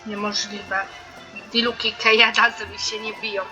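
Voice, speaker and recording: A woman's voice: mid-range voice, level tone, normal speed, over music, poor audio. A woman from Poland giving casual commentary as she plays a game for her video. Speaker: gaming video host.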